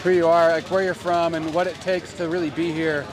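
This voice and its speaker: A man's voice: on the high side, level tone, a little fast. A man interviewing racers outdoors, asking friendly, upbeat questions. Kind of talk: press day interview. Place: outdoors at a race track. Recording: outdoor crowd noise.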